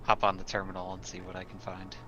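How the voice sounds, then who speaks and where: A man's voice: deep voice, completely flat delivery, quick pace. A man playing a tabletop roleplaying game, speaking in casual, improvised table talk. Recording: dull lo-fi mic with clear noise.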